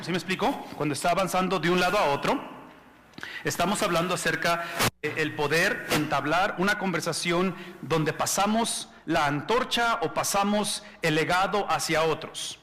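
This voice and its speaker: A man's voice: high voice, sharp tone. A man in his forties preaching at a pastors' conference in a conversational way, often repeating and restarting words and putting questions to the audience. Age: 40s. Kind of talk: conference sermon. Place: large church hall. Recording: reverberant hall.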